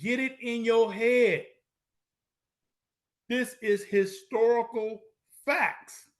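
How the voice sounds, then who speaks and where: High-voiced man lecturing emphatically. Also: fairly even intonation, extremely slow. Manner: emphatic, repeats points, rhetorical questions; street-style informal grammar. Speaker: lecturer on Moorish American history. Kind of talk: preaching lecture to viewers.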